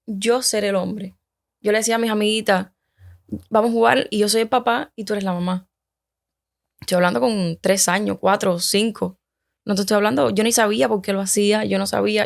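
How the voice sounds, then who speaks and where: A woman in her thirties from Cuba shares her personal story in a relaxed conversation. Her voice has a gravelly, fry-laden edge, and she speaks haltingly, often restarting her sentences. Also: mid-range voice. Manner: hesitant, halting, with many restarts. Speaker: guest. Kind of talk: personal testimony in casual conversation.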